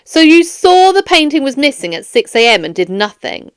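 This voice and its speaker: A woman's voice: high-pitched, big pitch swings, no echo, clean studio sound. A woman voicing a firm, formal police detective questioning a suspect in a children's audiobook. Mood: probing. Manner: formal, measured, stern. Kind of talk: children's audiobook character dialogue.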